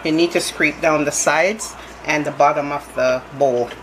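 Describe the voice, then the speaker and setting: A woman's voice: low voice, steady tone, measured pace. A woman baking at home, talking casually through each step of a recipe with chatty restarts, filmed from above her kitchen counter. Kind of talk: casual cooking demonstration. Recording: loud hand mixer noise.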